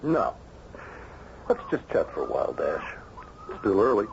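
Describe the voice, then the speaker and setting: An actor playing a falsely friendly, controlling psychiatrist in an old radio mystery. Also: male voice, fairly low pitch, wildly swooping pitch, normal speed, vocal fry, full character voice. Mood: coaxing. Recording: dull, faintly noisy old radio recording.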